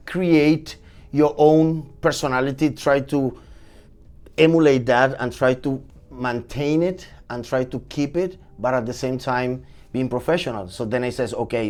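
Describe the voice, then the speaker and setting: A man in his forties from Venezuela with a Venezuelan Spanish accent, a chef telling his story as a podcast guest in a lively, energetic way. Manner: animated, energetic storytelling with swings in pitch. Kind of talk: casual podcast interview.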